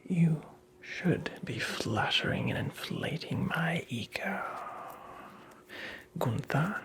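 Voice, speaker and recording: A performer voicing a creepy, vain little male imp in a whispered ASMR fairy-tale roleplay, teasing and condescending with drawn-out phrasing. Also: medium pitch, lively intonation. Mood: smug, menacing. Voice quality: breathy whisper.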